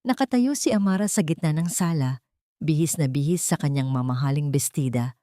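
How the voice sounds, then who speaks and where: A woman narrating a dramatic scripted story in a measured, solemn, melodramatic way. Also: sits low, dark tone, no room sound, studio quality.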